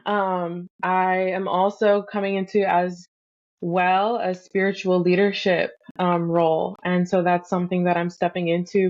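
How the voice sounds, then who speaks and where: Earnest low flat-voiced young woman. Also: dull audio. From Fresno, California. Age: young adult. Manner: earnest, many ums and soft restarts. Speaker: podcast guest. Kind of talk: reflective conversational storytelling.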